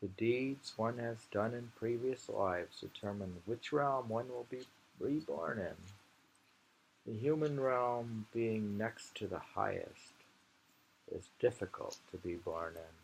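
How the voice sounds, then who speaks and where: A man reading poetry aloud informally, with musing, halting commentary and frequent pauses, in an amateur recording. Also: mid-range voice, creaky, slight background noise, poor audio.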